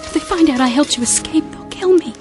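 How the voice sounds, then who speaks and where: An actress as an anxious, high-voiced secret helper. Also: female voice, quick pace, close to an everyday voice. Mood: pleading, secretive. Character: anxious, secretive female nurse helping a captive. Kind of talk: radio drama acting. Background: music.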